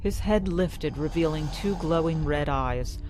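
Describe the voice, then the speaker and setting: A woman's voice: deep voice, a little slow, darkish tone, rough recording. Woman narrating fantasy over music. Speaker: storyteller.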